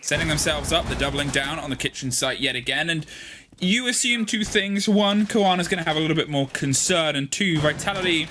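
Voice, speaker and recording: Play-by-play caster, male, bright and high, faint noise under the mic. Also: fairly quick. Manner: builds in pitch and energy with the action. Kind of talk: live esports commentary.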